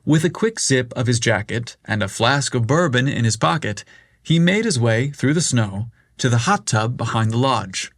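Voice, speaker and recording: A man reading a story aloud as narrator, in a measured, unhurried way. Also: medium pitch, animated, fairly bright tone, no room sound, studio quality.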